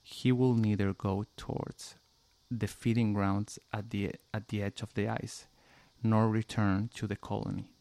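A man's voice: fairly low pitch, flat delivery, a little slow, creaky, dark tone. Chilean man speaking English, hesitant. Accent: Chilean Spanish. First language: Spanish. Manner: hesitant, many "like" fillers, careful pronunciation. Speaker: interviewee, musician. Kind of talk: casual interview answers and a read passage.

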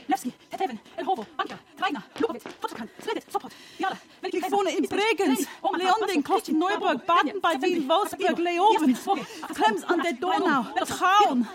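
A woman reciting long lists of town names one by one, with a slow, deliberate delivery broken by giggles. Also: very high voice, lively intonation. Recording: crowd chatter behind.

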